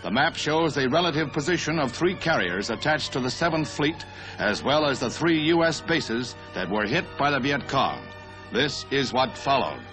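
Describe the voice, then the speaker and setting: An American man narrates in the manner of a 1960s newsreel. His delivery is formal, scripted and declamatory, at an average male pitch. Music plays clearly behind him throughout. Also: animated, normal speed, rough recording.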